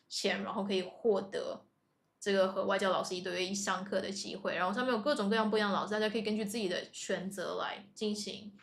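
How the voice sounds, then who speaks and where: A woman co-hosting and interpreting on a live-stream lesson. She talks in a chatty way, full of "um" and "like", and relays the audience's questions to the teacher. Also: mid-range voice. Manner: chatty, filler-heavy, with many "like" and "um". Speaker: co-host and interpreter.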